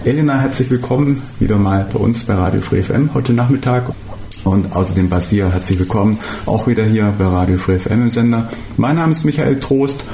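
A man's voice: on the low side, brisk, mellow, reverberant, a little hiss or hum. A man hosting a radio talk show interview in a studio, speaking hesitantly with fillers and restarts. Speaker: radio host.

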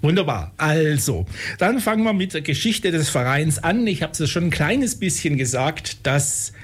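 A man hosting a radio interview, asking questions in a relaxed, loosely worded way. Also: a little high, normal speed, breathy edge. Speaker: radio host.

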